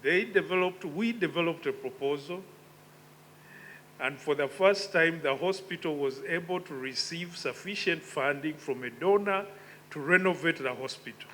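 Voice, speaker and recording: Man in his fifties, conference keynote, somewhat high voice, deliberate and reflective, faint hum underneath. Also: bright, forceful tone, poor audio. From Uganda. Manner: measured, reflective, with emphatic rises. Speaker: conference speaker. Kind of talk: conference speech. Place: conference hall.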